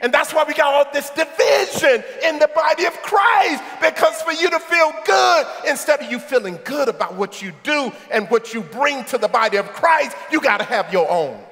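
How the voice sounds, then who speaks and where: Middle-aged male preacher, high gravelly voice, loud sermon with asides to the pews, echoey sanctuary. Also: lively intonation, moderate pace, few pauses, a faint crowd. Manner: preaching, shouting at peaks, quips to the congregation. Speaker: pastor. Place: large church sanctuary. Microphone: headset mic. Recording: echoey sanctuary with congregation and organ. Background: congregation and soft music.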